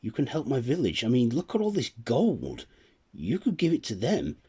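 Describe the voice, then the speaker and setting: A man's voice: mid-range voice, huge pitch swings, moderate pace, mellow, husky, voice pushed up, heavily acted. A man voicing a jolly, generous man who helps his poor village and laughs "ho, ho", speaking eagerly and heartily.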